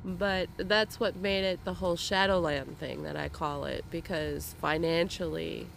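A woman being interviewed in a vendor tent outdoors at a festival, talking slowly and haltingly with many fillers. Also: low-pitched, fairly even intonation, creaky. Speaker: interview guest. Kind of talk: casual interview. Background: faint air compressor noise.

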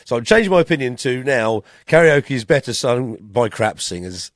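Croaky man, laughing and self-mocking. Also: mid-range voice, very animated, normal speed, no echo, studio quality. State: slightly croaky voice after a cough. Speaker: podcast co-host. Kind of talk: casual chat.

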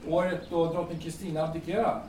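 A man's voice: a little high, level tone, darkish tone, close to an everyday voice. A man playing a friendly, plain-spoken young carpenter in an audio drama. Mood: casual. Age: young adult. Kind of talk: naturalistic audio drama acting. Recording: echoey, distant, faint noise with birds.

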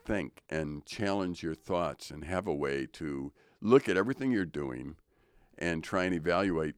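Deep-voiced older man musing on faith. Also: expressive, unhurried, clean tone, dry recording, studio quality. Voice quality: low voice. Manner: thoughtful, reflective, preachy. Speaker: co-host. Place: radio studio.

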